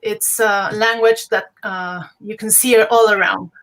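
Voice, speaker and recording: Bright-voiced Mexican woman, Spanish-native. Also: mid-range voice, fairly even intonation, low-quality recording. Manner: measured, explains terms patiently. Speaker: guest. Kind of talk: calm spiritual explanation.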